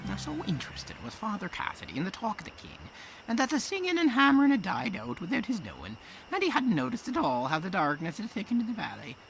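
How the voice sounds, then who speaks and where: A man playing a kindly old Irish storyteller, folksy and gentle, telling tales in an audio drama. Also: a little high, creaky, slight background noise, heavily acted, rough recording.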